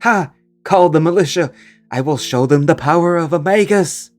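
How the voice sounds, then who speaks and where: Man doing a raised, slow, pompous magus spirit for an audio story, archaic and haughty. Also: a little high, a little mellow, soft background music, lightly acted. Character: arrogant male magus spirit, pompous and theatrical.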